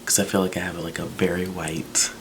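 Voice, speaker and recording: Deep-voiced man chatting casually. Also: fairly quick, creaky, noisy background. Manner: chatty, relaxed, with fillers like "um" and "like". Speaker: book vlogger. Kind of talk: casual book review vlog.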